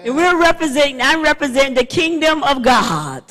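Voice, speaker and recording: A middle-aged woman pastor leading a church service on a handheld mic, chatty and loose, halting with fillers and restarts. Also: high-pitched, sing-song, a bit bright. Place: church sanctuary, indoors. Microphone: handheld microphone. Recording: long church hall reverb.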